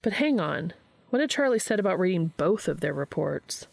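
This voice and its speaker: A woman's voice: fairly low pitch, big pitch swings, normal speed, creaky, no echo. Woman narrating fiction in a dry close recording. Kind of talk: expressive scripted story narration.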